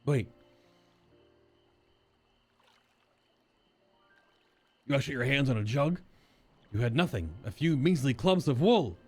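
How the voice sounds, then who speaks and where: A man doing a gruff little saddle maker. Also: medium pitch, lively intonation, fairly quick, darkish tone, soft background music. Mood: surprised. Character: small gruff male saddle maker creature.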